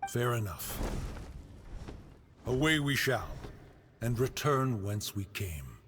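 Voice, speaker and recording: An aloof immortal guardian talks in a mid-range voice with stiff, archaic formality. Clear music plays behind him in the game mix. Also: male voice, medium pitch, big pitch swings, slow, fairly bright tone. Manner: formal, archaic, refers to himself as one. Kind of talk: video game cutscene voice acting.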